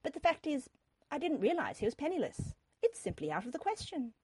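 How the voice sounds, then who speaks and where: A woman's voice: high-pitched, sing-song, fairly quick, darkish tone, no room sound, voice pushed up. Woman playing a prim, wily young heiress. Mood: feigned reluctance. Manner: prim, affectedly sorrowful. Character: wily young rich heiress feigning tender regret, dainty, haughty. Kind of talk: dramatic audiobook reading of historical romance.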